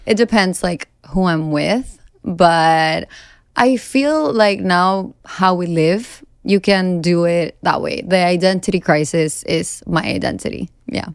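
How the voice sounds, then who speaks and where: A woman's voice: fairly low pitch, takes it slowly, clean tone, dry recording, studio quality. A woman in her thirties from Venezuela, a guest in a casual interview, talking candidly and laughing at herself often. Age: 30s.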